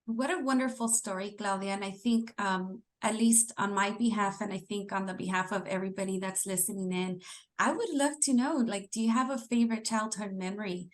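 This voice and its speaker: A woman hosts a podcast and leads the interview with friendly, encouraging questions. Her voice sits at a middle pitch, and her delivery is easy and conversational. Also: fairly quick. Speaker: podcast host.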